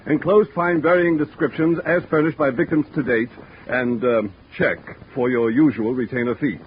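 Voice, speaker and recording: A man's voice: medium pitch, moderate pace, lightly acted. An actor playing a fussy, formal male company manager dictating a letter in a stiff, officious way, in 1940s radio style. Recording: dull, noisy vintage radio recording.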